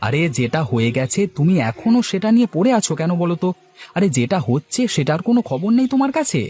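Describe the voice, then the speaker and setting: A man's voice: a little high, very animated, quick pace, darkish tone. A man voicing an eager, upbeat younger village neighbour in a cartoon story, urging and chiding with questions.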